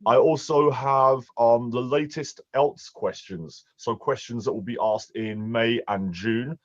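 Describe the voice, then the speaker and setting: A man's voice: medium pitch, steady tone, a little slow, no echo, muffled recording. A man with a London accent, an online English teacher chatting casually with a student over a video call, laughing often and restarting his sentences. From England. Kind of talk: casual one-on-one conversation lesson.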